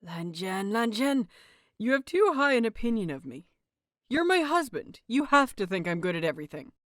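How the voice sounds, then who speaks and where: A woman voicing a playful, excitable young husband in an audio story, chatty, teasing and self-deprecating. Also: medium pitch, moderate pace, no echo, studio quality, lightly acted. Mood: amused disbelief.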